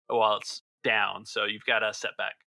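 A man's voice: fairly low pitch, level tone, normal speed, vocal fry, bright, forceful tone. A man acting as game master, improvising narration and rulings for a tabletop roleplaying game. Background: music.

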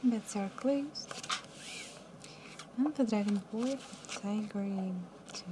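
A young woman working as a live casino card dealer, calling each round in a soft, mumbly, calm and polite way from a studio table. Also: medium pitch, slow pace, background noise. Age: young adult. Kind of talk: announcing card game rounds.

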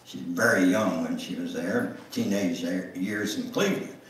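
An older man gives a deliberate dramatic reading of a memoir, with pauses. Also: sits low, slight background noise. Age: older, 70s or above. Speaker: guest speaker reading his memoir. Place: church sanctuary, standing at music stand. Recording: echoey church room, distant microphone.

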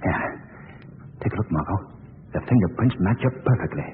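An actor playing a confident, composed gentleman detective in 1940s radio style. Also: on the low side, lively intonation, moderate pace, lightly acted. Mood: composed, assured. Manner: composed, assured. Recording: scratchy, tinny 1940s radio recording.

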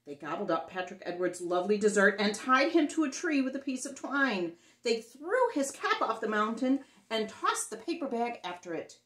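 Middle-aged woman, children's storyteller, animated swooping pitch, theatrical emphasis, dramatic pauses, echoey room. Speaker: children's storyteller reading a picture book aloud. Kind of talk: dramatic read-aloud for young children. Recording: roomy echo on the voice.

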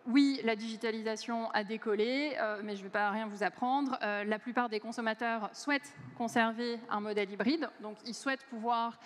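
A woman in her forties delivers a keynote from a big conference stage, her fairly high voice picked up by a headset mic. She talks fluently but leans on many hesitant fillers. A long reverb from the hall trails her words. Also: steady tone, normal speed, few pauses. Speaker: keynote speaker.

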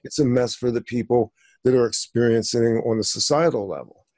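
A man's voice: medium pitch, a little fast, darkish tone. A man in his sixties talking in a rambling, digressive way, full of fillers, on a discussion show. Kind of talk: conversational discussion.

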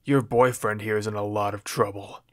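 A man's voice: on the low side, steady tone, normal speed, noisy background, natural voice. A man playing a sneering gang boss. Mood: taunting. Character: menacing male gang boss, mocking.

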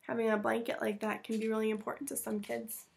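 A woman's voice: a little high, little pitch movement, fast, vocal fry. An American woman in her thirties reading a picture book aloud to children, expressively, with chatty and simply worded asides, recorded in a room at home. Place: small room at home with bookshelves.

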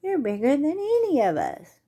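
A woman voicing excited young possum siblings in a children's story, raising her pitch and volume. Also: normal speed, exaggerated voice. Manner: bright, raised, excited delivery.

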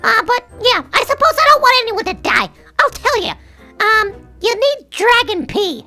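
An actor voicing a boastful, touchy boy bully with a squeaky, girlish voice in a children's comedy video. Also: very animated, moderate pace, sharp tone, soft background music, full character voice. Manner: defiant, boastful, childish tough talk.

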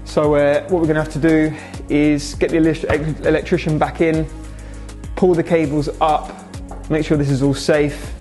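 Young guy from england walking thru a reno, pretty flat voice, lots of so and obviously, bit of music behind. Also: on the high side, normal speed. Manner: casual, matter-of-fact, lots of "so" and "obviously". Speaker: restaurant owner. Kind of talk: vlog update on a restaurant renovation. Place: restaurant under renovation, indoors.

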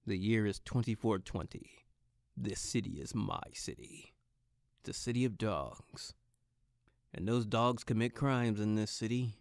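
An actor playing a brooding, earnest and wry private detective, narrating a noir audio drama. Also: male voice, on the low side, a little slow, creaky, dry recording, clean studio sound.

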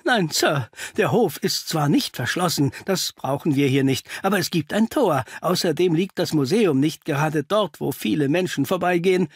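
Man voicing a flustered village doctor, high and husky. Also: expressive, no room sound, studio quality. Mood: shaken. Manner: agitated, halting.

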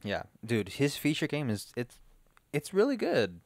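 A young man co-hosting a podcast from a living room, chatting casually on a boom mic with headphones, laughing often and swearing freely. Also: wildly swooping pitch, a little slow, a little mellow, dry recording. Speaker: podcast co-host. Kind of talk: casual chat. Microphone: boom mic on arm, headphones.

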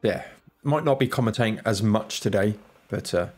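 Low-voiced man with a stuffy cold. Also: moderate pace, a little mellow, husky, faint music behind. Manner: laid-back, muttering, self-deprecating. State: congested from a cold. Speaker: gaming channel host. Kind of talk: casual let's-play commentary. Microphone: desk microphone, off camera.

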